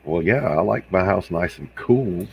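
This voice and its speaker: A man's voice: low voice, lively intonation, moderate pace, vocal fry, background noise. A man from South Carolina with an American accent, chatting casually in a wry, joking way. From Hilton Head Island, South Carolina. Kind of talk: casual chat.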